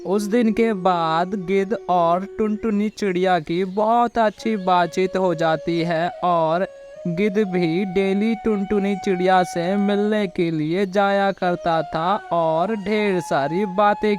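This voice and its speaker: A man's voice: high-pitched, slow, music in the background. A man narrating a simple moral story for children as the storyteller.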